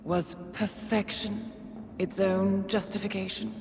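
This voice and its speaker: Slow, taunting phantom woman. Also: medium pitch, level tone, vocal fry. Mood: mocking. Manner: mocking, drawn-out, theatrical. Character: taunting phantom female voice haunting an assassin's mind. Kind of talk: dramatic video game cutscene acting. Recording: long reverb, ambient noise behind.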